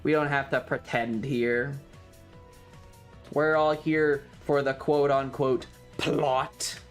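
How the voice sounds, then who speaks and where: A streamer talks casually at a middling pitch over background music. The delivery rambles along with wry, jokey asides and some mild crudeness, as in a relaxed livestream chat. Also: slow pace, clear, resonant tone.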